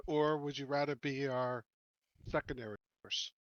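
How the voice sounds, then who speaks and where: A man's voice: medium pitch, steady tone, a little slow, bright, forceful tone, no room sound, highs cut off. A man chatting casually as a player in a tabletop game group.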